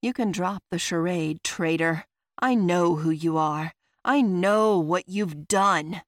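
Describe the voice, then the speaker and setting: A woman voicing a vengeful, righteous magic wielder who accuses forcefully in a fantasy audiobook. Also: fairly low pitch, lively intonation, moderate pace, no echo, studio quality. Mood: accusing.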